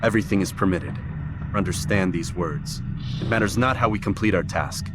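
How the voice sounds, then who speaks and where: An actor playing an arrogant, cold medieval assassin with a haughty, terse manner in a video game. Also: male voice, low-pitched, moderate pace, background noise, natural voice. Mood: cool arrogance. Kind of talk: video game cutscene dialogue.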